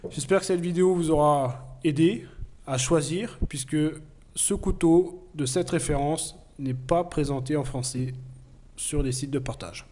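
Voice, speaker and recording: A man with a cold talks through a knife review in a mellow, slightly high voice. He explains earnestly, pausing often on fillers as he goes. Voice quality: hesitant, many fillers. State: has a cold. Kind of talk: casual product review.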